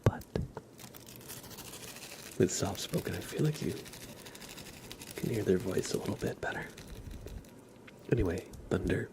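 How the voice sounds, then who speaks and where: A man streaming live ASMR, gently and unhurriedly switching between whispering and soft speech, close to the microphone. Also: deep voice, animated, unhurried, gravelly, pauses often, background noise.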